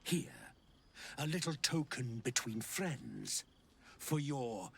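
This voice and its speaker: A man's voice: medium pitch, big pitch swings, takes it slowly, vocal fry, slight background noise, exaggerated voice. A man playing a sinister, secretive game character with theatrical, mock-polite manners who slips toward whispers. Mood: coy, mock-grateful. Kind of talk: video game character acting.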